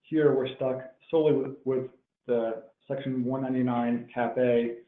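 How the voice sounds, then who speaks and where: A man presenting a technical webinar in a measured, explanatory way, as if working through prepared points. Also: medium pitch, little pitch movement. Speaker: webinar presenter, tax professional. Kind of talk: explanatory tax webinar lecture. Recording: tinny phone-like line, roomy echo.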